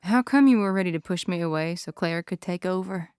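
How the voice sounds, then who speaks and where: A woman's voice: fairly low pitch, a little fast, a little mellow, clear, resonant tone, no room sound, natural voice. A woman plays a defiant young saloon star in an Old West audio drama. Her delivery is cool and wry, in a close, dry recording. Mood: uneasy.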